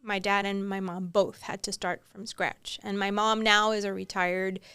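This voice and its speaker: Smooth-voiced woman recounting her story. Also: medium pitch, flat delivery, normal speed, no room sound. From Cuba, raised in Miami. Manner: warm storytelling with frequent mm-hmms and easy laughs. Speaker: podcast guest. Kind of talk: casual interview chat.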